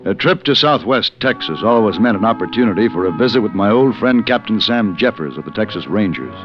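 An actor playing a suave, wry gentleman gunfighter with a measured, articulate delivery in an old radio western. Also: lowish voice, lively intonation, music in the background, close to an everyday voice. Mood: measured, narrating. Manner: measured, dryly amused, articulate. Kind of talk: 1950s radio western acting with narration. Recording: muffled old radio recording.

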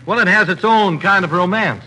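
Breezy high-voiced radio bandleader. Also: male voice, moderate pace, pushed and bright, rough recording. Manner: breezy, slangy hipster patter. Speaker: bandleader and show host. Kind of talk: 1940s radio comedy banter. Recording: old radio broadcast with music behind.

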